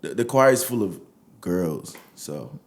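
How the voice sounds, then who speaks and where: A man's voice: low-pitched, animated, a little slow. A young Nigerian man with a Nigerian accent, talking casually as a musician guest in an interview.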